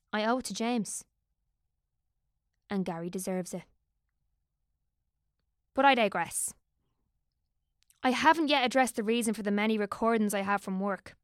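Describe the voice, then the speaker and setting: A woman's voice: on the high side, clear, resonant tone, no echo, studio quality. A young woman narrating an audio drama in a wry, conspiratorial, sweary way.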